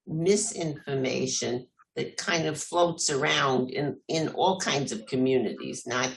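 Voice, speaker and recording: Gravelly moderator on a call, recaps and encourages others. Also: medium pitch, measured pace, poor audio. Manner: summarizing, encouraging. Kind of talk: online group meeting.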